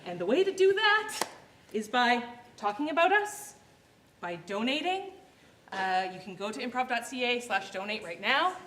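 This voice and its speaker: A woman's voice: on the high side, very animated, moderate pace, a bit bright. A woman making an earnest donation appeal on stage, with small laughs between phrases. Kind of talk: live stage speech. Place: large theatre hall. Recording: echoey theatre with faint noise.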